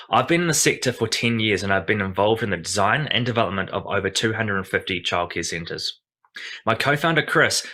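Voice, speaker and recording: A man giving a polished, confident startup pitch as founder. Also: sharp tone.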